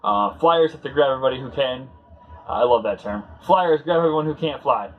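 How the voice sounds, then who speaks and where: A man hosting a casual read-along commentary, talking in a halting, conversational way as he searches for words. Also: medium pitch, lively intonation, phone-quality sound, soft background music. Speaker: host.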